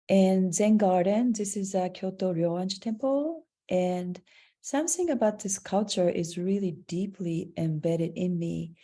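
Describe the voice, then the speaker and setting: Woman, Japanese accent, native Japanese speaker, gravelly, halting, online talk. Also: lowish voice, dark tone. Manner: halting, searching for words. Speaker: artist presenting her work. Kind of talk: online slideshow presentation. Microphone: video call.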